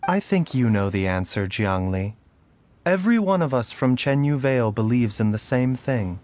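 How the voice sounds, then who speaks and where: A man voicing a calm young doctor who speaks gently and with measured reassurance in a game cutscene. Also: mid-range voice, natural voice. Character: calm composed male doctor bound to a contract. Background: music.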